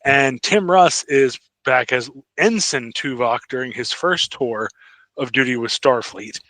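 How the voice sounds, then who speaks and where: A man's voice: mid-range voice, a little slow, pushed and bright, dry recording. A man co-hosting a podcast in casual fan chat, keen and full of his own opinions. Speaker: podcast co-host.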